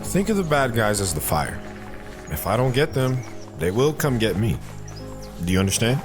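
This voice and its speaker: Male actor as soldier father, audio drama, earnest, patient, expressive pitch movement, clear background music. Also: moderate pace, natural voice. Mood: patient, serious. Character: protective soldier father, gentle and earnest with his daughter. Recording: music behind the voice.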